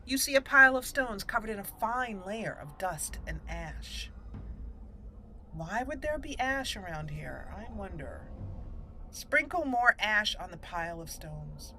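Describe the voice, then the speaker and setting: A woman's voice: mid-range voice, very animated, unhurried, sharp tone, slight background noise. A woman hosting a let's-play, chatting casually through her gameplay with giggles and self-mocking asides. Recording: game music behind the voice.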